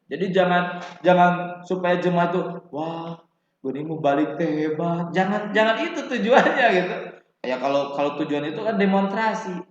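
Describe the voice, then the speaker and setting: Sundanese-accented male teacher in an echoey room. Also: on the high side. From West Java, Indonesia. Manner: conversational, slows to stress key points, drifts into Sundanese asides. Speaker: religious studies teacher. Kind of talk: casual classroom explanation. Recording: echoey room, muffled lo-fi sound.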